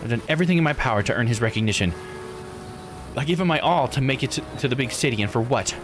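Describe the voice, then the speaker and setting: A man's voice: mid-range voice, expressive, fast, music in the background, natural voice. A man voicing a resentful, haughty young woman, a scorned noble-born island diver, reading visual novel dialogue bluntly. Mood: resigned, confiding. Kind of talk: visual novel character read-aloud.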